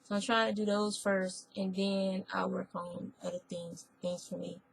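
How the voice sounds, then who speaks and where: A woman whose voice is hoarse from a spreading cold, chatting casually in a crafting vlog and often rambling and correcting herself. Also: medium pitch, flat delivery, moderate pace, slight background noise, low-quality recording. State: hoarse, losing her voice. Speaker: fiber arts vlogger. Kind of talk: casual chat.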